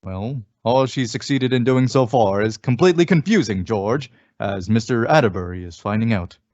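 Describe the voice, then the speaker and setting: A man's voice: medium pitch, expressive, normal speed, a little mellow, no room sound. A man announcing in the scripted style of 1950s radio advertising and show narration. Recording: dull vintage radio recording.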